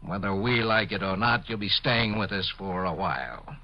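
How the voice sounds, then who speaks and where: An actor playing a stern, exasperated military doctor, gruff and officious, in a 1950s radio drama. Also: male voice, deep voice, normal speed, natural voice. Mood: grudging concern. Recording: muffled, noisy old radio recording.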